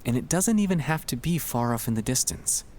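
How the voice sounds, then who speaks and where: A man narrating a sleep-aid ASMR monologue in a gentle, hushed, soothing and reassuring manner. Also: medium pitch, animated, fairly quick. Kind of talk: ASMR guided relaxation, scripted comfort monologue.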